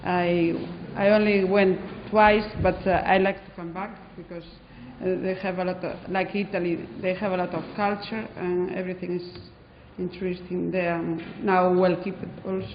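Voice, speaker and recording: A Spanish-accented woman head teacher answers questions in halting English, pausing often on fillers. Her voice rings out in a large echoing hall, with a dull lo-fi sound and faint background noise. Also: lowish voice, level tone. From Spain. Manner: hesitant, many fillers. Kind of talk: interview answers in non-native English.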